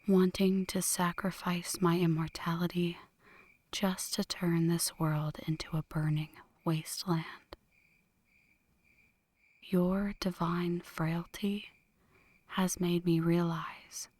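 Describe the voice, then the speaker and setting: A woman playing an ancient, lovestruck vampire in a slow, breathy whispered ASMR roleplay, intimate and solemn, close to the microphone. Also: fairly low pitch, a little mellow, no echo. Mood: sorrowful, confessional, then tender, hopeful. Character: ancient flirty female vampire, brooding, lovestruck, world-weary. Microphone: close microphone, dry.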